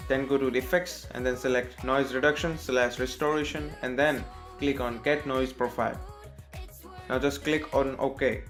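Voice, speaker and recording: A man with an Indian accent narrating a step-by-step software tutorial as its host, in a scripted style. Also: mid-range voice, steady tone, normal speed, soft background music.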